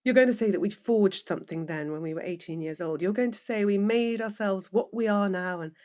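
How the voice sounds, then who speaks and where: A woman's voice: mid-range voice, brisk, darkish tone, close to an everyday voice. Audio drama role for an actress: anxious professional woman, forties, emotional, easily rattled, slightly needling, naturalistic delivery. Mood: wistful, unsettled. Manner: emotional, questioning, easily worked up. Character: anxious, wine-drinking middle-aged professional woman, wistful and needling.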